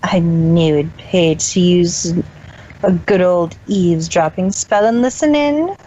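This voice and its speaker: A woman's voice: fairly low pitch, slow, warm tone, muffled recording. A woman voicing a scheming, smug villainous mare boss in a fan-fiction story reading.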